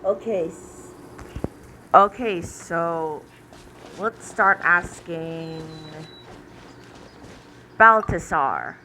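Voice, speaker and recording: A woman giving casual, halting gameplay commentary as a gaming YouTuber. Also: lowish voice, expressive, sharp tone, slight background noise. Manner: halting, drawn-out phrasing.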